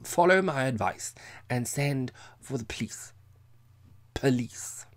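High-pitched, pompous comic drunk. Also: male voice, lively intonation, takes it slowly. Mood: solemn. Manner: portentous, insistent, slightly stumbling speech. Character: drunken middle-aged man, solemn, indignant, pompous, comic. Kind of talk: audiobook character voice.

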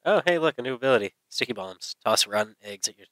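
A man's voice: mid-range voice, lively intonation, fast talker, a bit bright, no echo, poor audio. A man giving casual, sweary gameplay commentary, mostly talking to himself as host. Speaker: let's play host.

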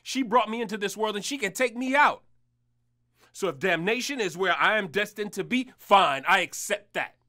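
Tormented, devout husband for audio drama, earnest and pleading, with a sharp forceful edge. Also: male voice, sits high, animated, moderate pace. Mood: fervent, resolute. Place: small recording studio. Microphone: studio mic on boom.

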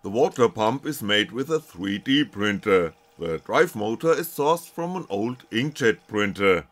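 A man's voice: fairly low pitch, very animated, unhurried, a bit bright. A man reading scripted technical narration as a maker presenting his own project.

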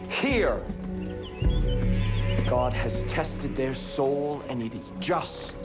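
A middle-aged cult prophet from a video game, preaching with solemn fervor. His delivery is slow and measured, each phrase weighted like scripture. Music plays behind him. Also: mid-range voice. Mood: fervent. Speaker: actor voicing a cult leader in a game. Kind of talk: dramatic game cutscene acting, preaching. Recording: music under the voice.

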